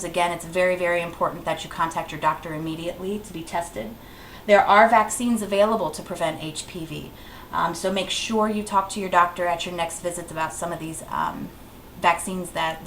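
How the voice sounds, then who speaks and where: A woman's voice: fairly low pitch, fairly even intonation, fairly quick, creaky, fairly bright tone. A woman giving an informal, plainly instructive health presentation as a clinic educator, repeating key advice. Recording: roomy echo, faint background noise.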